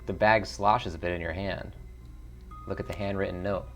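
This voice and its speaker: A man's voice: low-pitched, fairly even intonation, normal speed, a bit bright, faint music behind. A man streaming a video game, switching between casual commentary and reading the narration aloud.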